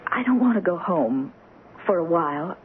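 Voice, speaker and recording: Young American wife on old radio. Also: female voice, fairly low pitch, big pitch swings, normal speed. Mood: fragile, pleading. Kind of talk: old radio drama acting. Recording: muffled, hissy old radio broadcast.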